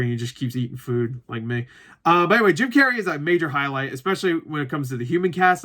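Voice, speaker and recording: A man with a notably high, bright voice gives an off-the-cuff movie review. He rambles informally, jokes around and swears freely. He leans on repetition to drive his opinions home.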